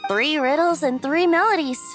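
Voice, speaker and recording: A woman's voice: sits high, normal speed, close to an everyday voice. A woman voicing a playful, youthful male bard and wind god in a video game, with a light boyish, lilting delivery. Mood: nostalgic. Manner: theatrical, whimsical, lilting. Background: music.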